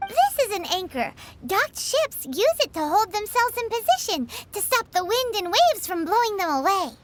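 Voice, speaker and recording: A squeaky, chattering fairy companion. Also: female voice, normal speed, background noise. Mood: matter-of-fact. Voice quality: high, squeaky. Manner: excitable, talks about herself in third person. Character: tiny floating fairy companion, chatty and bossy. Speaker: game companion. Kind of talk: video game voice acting. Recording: game audio with music behind. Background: game music.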